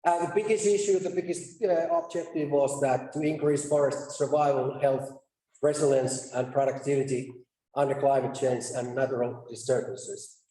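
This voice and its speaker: A man's voice: medium pitch, steady tone, mellow. Man presenting in an echoey hall. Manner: halting, pausing mid-sentence to restart. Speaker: conference presenter. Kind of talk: academic conference presentation. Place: conference session room. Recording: echoey room, distant mic.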